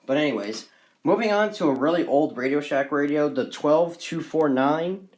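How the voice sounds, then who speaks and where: A man's voice: fairly high pitch, normal speed, darkish tone. A man presenting his hobby collection in a casual, unscripted vlog, talking in a rambling, repetitive and enthusiastic way.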